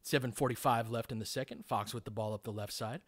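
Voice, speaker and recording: A man's voice: medium pitch, steady tone, quick pace. A man doing live basketball play-by-play, speaking in clipped, informal phrases and adding tactical analysis as he goes.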